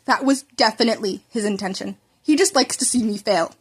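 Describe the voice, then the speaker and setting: A woman's voice: fairly high pitch, a little fast, husky. A woman voicing an irritable, foul-mouthed teenage boy in a story reading, blunt and grumbling. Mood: exasperated.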